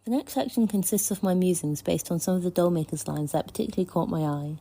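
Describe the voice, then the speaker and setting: A woman reading prepared fan commentary in a measured, articulate and thoughtful way. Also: fairly low pitch, warm tone. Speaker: listener contributor sending a voice message.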